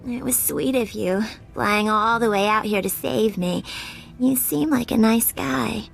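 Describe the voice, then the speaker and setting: Creaky-voiced, coy lovesick starship captain. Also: female voice, a little high, a little slow, slight background noise. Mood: sweetly coy. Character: lovesick deceitful female starship captain, flirty and cheerfully murderous. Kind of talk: video game cutscene acting.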